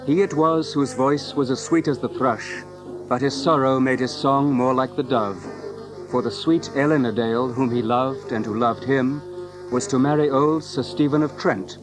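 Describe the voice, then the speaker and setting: An actor serving as narrator of a merry old English legend, telling it in measured, literary storybook language. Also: male voice, mid-range voice, close to an everyday voice, rough recording.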